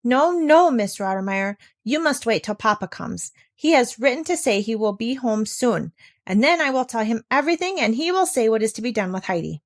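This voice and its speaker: Lady doing an eager little rich girl in a storybook, high and excitable, really animated. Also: moderate pace. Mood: distressed. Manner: excitable, pleading. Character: eager, kind-hearted, curious young rich girl.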